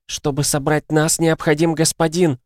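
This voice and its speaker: A man voicing a deranged ghostly mother in an audiobook, loud, drunken and accusing. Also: fairly high pitch, moderate pace, no echo, clean studio sound, voice pushed up, lightly acted. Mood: demanding. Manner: loud, slurring, screaming.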